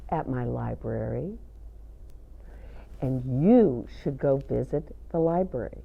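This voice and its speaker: Female children's storyteller: unhurried, very expressive, wide swings in pitch, speaking directly to little ones with questions. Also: gravelly, slightly rough, phone-quality sound. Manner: animated, sing-song, addresses children with questions. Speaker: children's storyteller and show host. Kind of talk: read-aloud and chat for young children.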